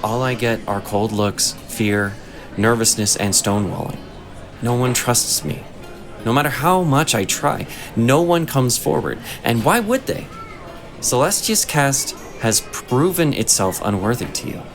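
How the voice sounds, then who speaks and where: An actor playing a shrewd, composed male inquisitor in a fantasy audio drama, speaking in a measured, persuasive way. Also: mid-range voice, lively intonation, music in the background. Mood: earnest, persuasive.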